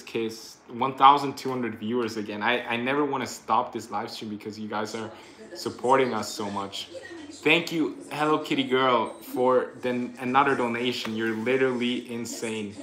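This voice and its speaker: A man's voice: medium pitch, moderate pace, fairly bright tone, reverberant. Chatty young man on a livestream. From Austria. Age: 20s. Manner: upbeat, chatty, thanking viewers. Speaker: livestream host. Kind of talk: casual livestream chat reading donations. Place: living room couch indoors. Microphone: phone camera propped in front.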